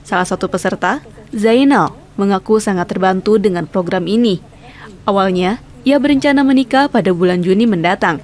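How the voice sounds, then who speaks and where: Female Indonesian news reporter, mid-range pitch, scripted report narration. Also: moderate pace, a little mellow.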